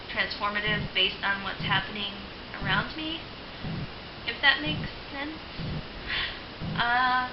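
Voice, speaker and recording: A young woman with a fairly high voice talking casually to camera, chatty and full of ums, likes and laughs. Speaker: video blogger. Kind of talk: casual spoken reflection. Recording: lo-fi roomy home recording with hiss.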